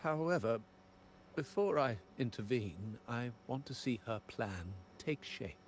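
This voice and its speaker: A man playing a stern aging head of a crime family in a video game, speaking gravely in a gravelly voice. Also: medium pitch, animated, slight background noise, close to an everyday voice. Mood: stern, resolute. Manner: slow, grave, measured. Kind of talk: dramatic video game dialogue.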